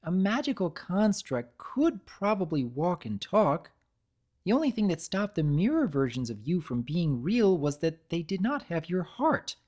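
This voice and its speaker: A woman's voice: fairly low pitch, mellow, voice pushed up. A woman voicing a thoughtful, level-headed young female cartoon pony in a story reading, with precise, reasoning delivery.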